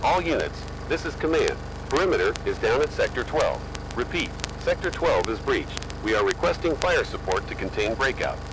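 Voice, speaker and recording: Guy as army command on radio, urgent and clipped, lively pitch, noisy rumble. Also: moderate pace. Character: urgent male military commander calling all units. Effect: military radio.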